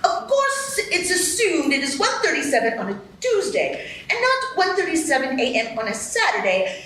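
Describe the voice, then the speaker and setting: Woman voicing a fussy rabbit herald, high. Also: huge pitch swings, moderate pace, sharp tone, exaggerated voice. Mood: officious. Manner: formal, fussy announcing. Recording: echoey stage, faint noise.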